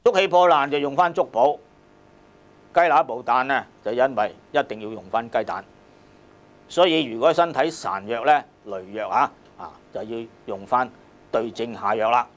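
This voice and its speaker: Hong kong cantonese guy giving a lecture, medium pitch, emphatic, repeats himself, keeps asking rhetorical questions. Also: animated, moderate pace. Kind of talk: explanatory classroom lecture on a classical text.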